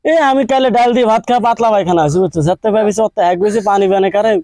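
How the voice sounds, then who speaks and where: A man's voice: high voice, very fast, a little mellow, no echo, clean studio sound. A man with a from Assam, rambling and insistent as he makes a comic prank phone call, often checking that he is understood. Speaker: prank caller.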